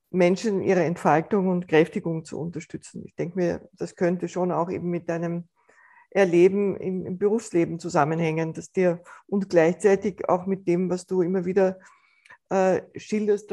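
A woman's voice: fairly low pitch, mellow. A woman hosting a podcast, reflecting on and summing up her guest's words, sometimes stumbling. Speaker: podcast host. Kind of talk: podcast interview.

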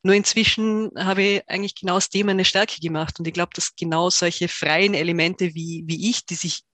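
A woman's voice: fairly low pitch, fairly even intonation, moderate pace, fairly bright tone, no echo. Woman, dry podcast voice. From Tyrol, Austria. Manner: thoughtful, many fillers and restarts. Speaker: startup founder, guest. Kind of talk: podcast interview.

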